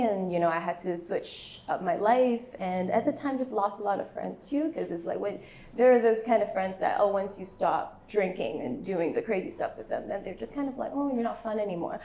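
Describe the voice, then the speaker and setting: Soft-spoken woman in an echoey room. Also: medium pitch, lively intonation, normal speed. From Singapore. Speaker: emotional wellness guide. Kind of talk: panel introduction and personal story. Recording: echoey room with faint background noise.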